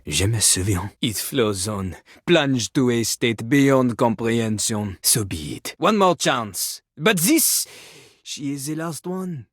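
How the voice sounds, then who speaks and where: Flamboyant, high-pitched French rapper diva. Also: male voice, fairly bright tone, no room sound, studio quality. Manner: pompous, melodramatic, sweeping delivery. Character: flamboyant French rapping toilet, melodramatic self-important diva. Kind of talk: theatrical video game voice acting, third-person speech.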